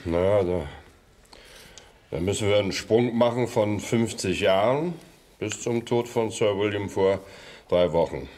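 A man playing a plain-spoken manor gardener, telling his tale haltingly and colloquially in a radio crime play. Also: on the low side, darkish tone. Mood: hesitant recounting. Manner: halting, folksy, colloquial storytelling.

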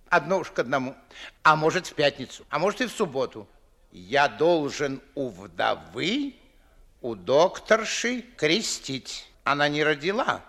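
A pompous old Moscow nobleman in a classic radio comedy. His voice is high and bright, and he lectures fussily in slow, theatrical, weighty phrases. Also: full character voice. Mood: musing, sighing. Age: older. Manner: theatrical, declamatory, drawn-out emphatic phrasing. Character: pompous, fussy old aristocratic Moscow father and official. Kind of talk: 1950s stage-style radio play acting.

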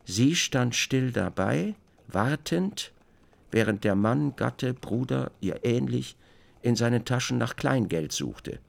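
A man narrating a literary radio drama from a script, with expressive, descriptive storytelling. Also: mid-range voice, slow, gravelly.

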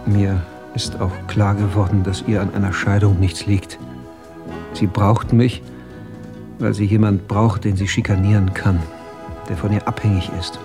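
A man's voice: fairly low pitch, little pitch movement, unhurried, close to an everyday voice. Man doing a bitter husband in radio drama, music playing under it, embittered and well spoken. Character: bitter, resentful husband trapped in a loveless marriage.

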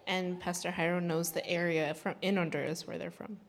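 A woman's voice: lowish voice, flat delivery, normal speed, slight background noise. A woman interpreting a sermon into English, relaying the pastor's words steadily. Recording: hall reverb.

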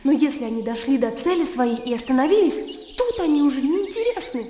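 An actress playing a naive, blunt young country gentlewoman in a Russian radio drama, opinionated and emotional. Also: high-pitched, lively intonation, brisk, a little hiss or hum. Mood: animated, opinionated. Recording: roomy long reverb with music behind.

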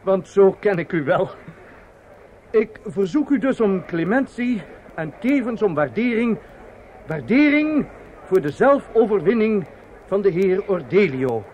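Flustered, pompous impresario, swooping high. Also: male voice, very animated, unhurried, a little mellow, a little hiss or hum. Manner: hesitant and muttering offstage, pompous in speeches. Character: flustered, self-satisfied male opera impresario. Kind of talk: radio drama acting.

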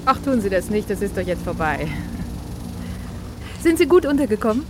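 A woman's voice: mid-range voice, big pitch swings, moderate pace, close to an everyday voice. An actress playing a cautious, shy young woman in a German radio drama, kind but guarded. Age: young adult. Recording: street noise with traffic behind.

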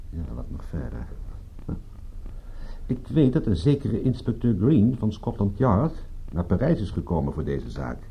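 Husky, low-voiced wry private detective. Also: male voice, muffled recording, full character voice. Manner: dry, sardonic. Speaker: narrator and lead character. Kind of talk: radio drama acting with narration.

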